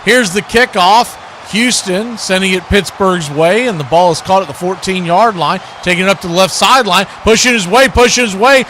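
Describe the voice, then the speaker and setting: A man doing radio-style live football play-by-play commentary, mixed with casual asides. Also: high-pitched. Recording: steady background noise.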